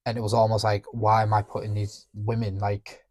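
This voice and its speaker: Young man, low voice, flat delivery, dry close sound, hesitant and reflective. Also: no room sound. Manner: halting, reflective, many restarts. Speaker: podcast co-host. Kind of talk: candid emotional conversation.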